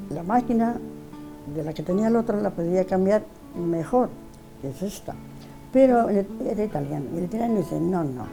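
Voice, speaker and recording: Older woman, interviewee, rambling recollection, dark mellow tone, faint music bed. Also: medium pitch, poor audio. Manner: rambling, repeats words, restarts often. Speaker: interviewee, widow of the artist. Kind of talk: casual reminiscing in an interview.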